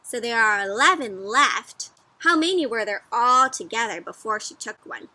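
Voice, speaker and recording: A woman doing an interactive storybook read-aloud for young children, animated and teacherly, often prompting her listeners with questions. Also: a little high, normal speed, dry recording, rough recording.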